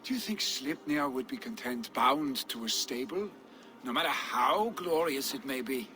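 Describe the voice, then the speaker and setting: A restless young Viking, bright-voiced. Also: male voice, medium pitch, expressive, normal speed, faint music behind. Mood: wistful. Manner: earnest, boyish, emotional. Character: restless young male Viking jarl's son. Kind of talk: video game voice acting.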